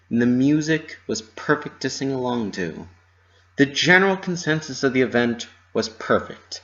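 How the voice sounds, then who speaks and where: A man's voice: mid-range voice, a bit bright. A man giving a dramatic scripted reading of a fan fiction story as its narrator. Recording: muffled lo-fi home recording.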